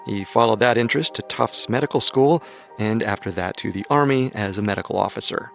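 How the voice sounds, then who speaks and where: A male narrator with a low, gravelly voice tells a true-crime story at a measured pace. His delivery is scripted and steady, with soft music underneath.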